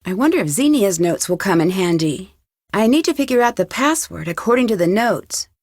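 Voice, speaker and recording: A young woman detective from an adventure game, recorded very dry and close. She talks to herself in clear, practical, curious remarks, with a medium pitch and steady determination. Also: fairly quick, dry recording. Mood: curious, musing. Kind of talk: scripted adventure game dialogue, thinking aloud.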